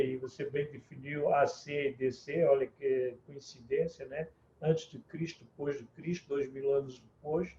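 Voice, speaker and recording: Middle-aged man from Mozambique, dark and formal. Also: on the high side, slight background noise. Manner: formal and measured, building points in lists. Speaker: guest, book publisher. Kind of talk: interview answers. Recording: echoey room, dull lo-fi sound.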